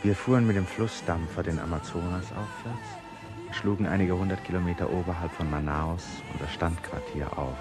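An actor playing a reflective painter in a German radio play, speaking in a measured, pensive way. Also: male voice, low voice, over music, close to an everyday voice. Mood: pensive narration. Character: reflective male painter recalling a jungle expedition. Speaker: radio play narrator and lead. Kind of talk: 1950s-70s German radio play acting, first-person narration and dialogue.

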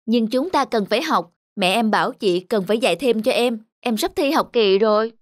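A woman's voice: fairly high pitch, lively intonation, moderate pace, dry recording, clean studio sound, natural voice. A young woman voicing a kind, patient student tutor in a cartoon. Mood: firm. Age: 20s. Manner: gentle, patient. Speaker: cartoon character. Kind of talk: cartoon voice acting. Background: clear background music.

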